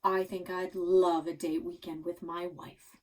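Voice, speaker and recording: A woman voicing an earnest, easygoing, soft-hearted father who is easily swayed, in a storybook. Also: lowish voice, little pitch movement, unhurried, natural voice. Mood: hopeful.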